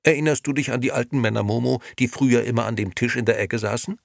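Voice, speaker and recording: A man's voice: mid-range voice, quick pace, creaky, dry recording, studio quality, voice pushed up, close to an everyday voice. A man voicing a brusque, indignant woman, an innkeeper's fiercely loyal wife, in a scolding audiobook character voice. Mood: bitter, sarcastic.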